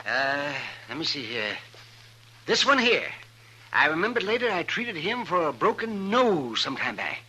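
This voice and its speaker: An American actor playing a fussy frontier doctor in 1950s radio drama, stammering and repeating himself. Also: male voice, on the high side, big pitch swings, measured pace, pushed and bright, husky, exaggerated voice, low-quality recording. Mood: fussy, wry.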